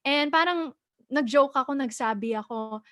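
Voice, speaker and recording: A woman's voice: high voice, moderate pace, dry recording, studio quality. A young woman singer who grew up in the United States, chatting animatedly at a press launch, switching between English and Tagalog and laughing often. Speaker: singer-songwriter guest.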